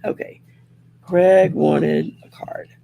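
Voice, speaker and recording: Slow, deeply gravelly woman reading cards. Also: steady tone, dark tone. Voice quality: growly, gravelly voice from throat surgery. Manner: rambling and chatty, restarts often. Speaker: host and tarot card reader. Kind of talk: casual livestream chat with card reading.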